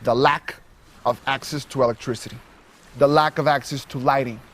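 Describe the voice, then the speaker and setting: A young man from Guatemala City, a solar startup co-founder, giving an earnest, measured documentary interview. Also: mid-range voice, fairly even intonation, fairly bright tone. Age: young adult.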